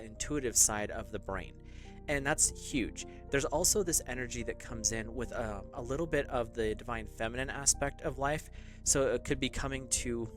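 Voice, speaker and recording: A man's voice: medium pitch, a bit bright. A man giving a casual, rambling tarot reading to his viewers, speaking loosely with fillers and small laughs. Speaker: tarot reader.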